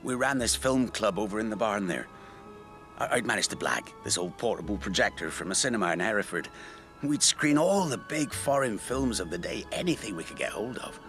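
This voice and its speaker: A rueful rural man in a radio drama, his voice on the low side and very mobile in pitch. He tells his story conversationally, halting and reflecting as he goes, with music behind him. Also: big pitch swings, fairly bright tone. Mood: nostalgic, halting. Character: rueful rural man recalling an old friend's tragedy. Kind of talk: naturalistic radio drama monologue.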